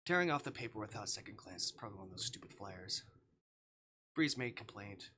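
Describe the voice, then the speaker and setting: A man's voice: lowish voice, lively intonation, brisk, bright, forceful tone, slight background noise. A man live streaming, expressively reading a visual novel aloud with casual asides and stumbles as he skims.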